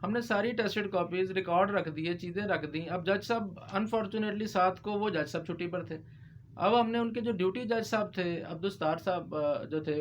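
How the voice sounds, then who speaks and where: A man explaining legal procedure as a lawyer in discussion, mixing in English legal terms. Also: high voice. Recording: clear background noise.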